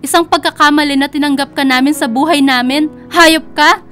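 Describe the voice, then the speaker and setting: High, forceful protective mother. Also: steady tone, brisk, faint music behind. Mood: furious, shocked. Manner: emotional, dramatic. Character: protective, emotional single mother defending her daughters. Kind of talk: radio drama acting.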